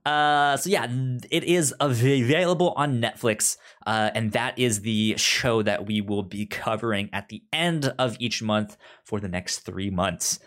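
A man's voice: medium pitch, measured pace, hardly any pauses, a bit bright. A man co-hosting a podcast in casual chat, informal and speaking straight to the listeners. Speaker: podcast co-host.

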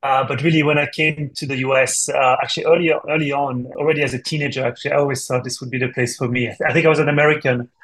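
A man from France with a French accent, answering interview questions thoughtfully with many pauses. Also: medium pitch, fairly even intonation, fairly bright tone, low-quality recording. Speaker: guest, company CEO.

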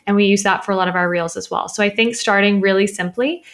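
A woman's voice: medium pitch, steady tone, fast talker, fairly bright tone. A woman guest on a podcast, a business founder, talking in a chatty way with lots of "like". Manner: chatty, heavy use of "like". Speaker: social media business founder. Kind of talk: casual podcast interview.

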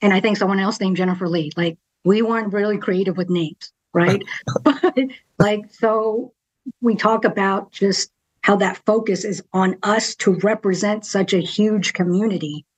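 A woman's voice: mid-range voice, fairly even intonation, normal speed, slightly rough, poor audio. A middle-aged woman from Texas, a TV news anchor, talking candidly as a podcast guest, laughing often and tagging "right?" onto her points. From Texas, USA. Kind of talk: casual interview conversation.

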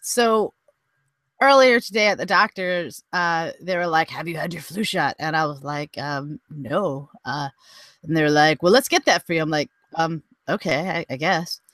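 A woman's voice: deep voice, normal speed, a bit bright, no room sound. A woman co-hosting a podcast in casual chat, giggling often, saying "like" over and over, and trailing off. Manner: giggly, heavy use of "like", trailing off. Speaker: podcast co-host.

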